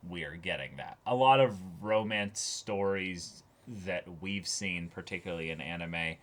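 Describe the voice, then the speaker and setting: A young man co-hosting a casual discussion show, deliberate and thinking aloud as he comments. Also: deep voice, a bit bright. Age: young adult. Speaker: co-host of an anime reaction show. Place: small indoor room, seated before a backdrop.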